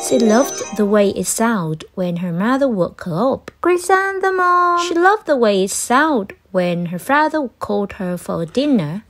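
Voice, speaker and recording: A woman storyteller reading aloud to children in an expressive, deliberate, emphatic style. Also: medium pitch, faint music behind.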